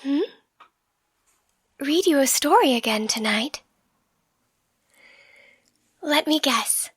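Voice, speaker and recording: Woman as high, whispery, tender catgirl girlfriend. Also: lively intonation, no echo, clean studio sound, heavily acted. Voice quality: soft, breathy, often whispered. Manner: tender, gentle, unhurried, reassuring. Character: affectionate catgirl girlfriend soothing her partner to sleep. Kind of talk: ASMR bedtime roleplay and slow storybook reading. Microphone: close microphone. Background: soft music.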